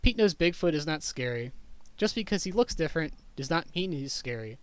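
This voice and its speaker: A man's voice: a little high, animated, a little fast, clean tone, no room sound. Man reading a picture book, dry close sound. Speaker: teacher reading aloud to kindergarteners. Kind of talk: children's picture book read-aloud.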